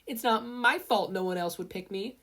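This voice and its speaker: A woman's voice: mid-range voice, moderate pace, a bit bright, pitched above the performer's own voice. A woman voicing a brash, argumentative teenage girl inventor in a story reading. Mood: defensive, complaining. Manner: smug, argumentative.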